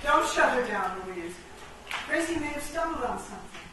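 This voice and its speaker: An actress playing a commanding, idealistic chess-piece leader, declaiming deliberately in a theatrical radio play. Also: female voice, a little high, lively intonation, natural voice. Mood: urging. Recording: echoey, distant, noisy stage recording.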